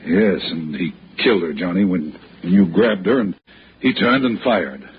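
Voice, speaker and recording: An American actor playing an anguished, pleading, respectable son in a 1960s radio drama, earnest and halting. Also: male voice, low-pitched, lively intonation. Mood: earnest, shaken. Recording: muffled, hissy old radio broadcast.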